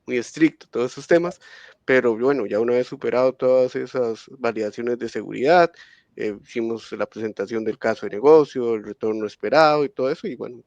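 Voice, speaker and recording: Panelist, man, casual and relaxed, trails off, dull dry recording. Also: mid-range voice, brisk, no room sound. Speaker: panelist from a bank. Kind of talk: webinar panel talk.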